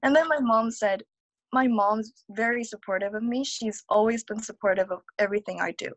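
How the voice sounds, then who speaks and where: A woman's voice: on the high side, brisk, no echo, highs cut off. A young woman from South Korea, a native Korean speaker, telling her personal story as an interview guest in a conversational way. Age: young adult.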